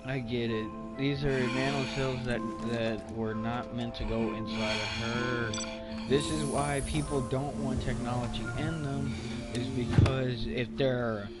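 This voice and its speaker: A gamer giving casual playthrough commentary, halting and thinking aloud. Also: mid-range voice, steady tone. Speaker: gamer reacting to a game. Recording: voice over loud game audio and music.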